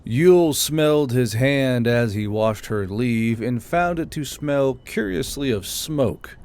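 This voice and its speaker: A man's voice: medium pitch, expressive, takes it slowly, a little mellow, clear, resonant tone. A man reading scripted military fiction as an audiobook narrator.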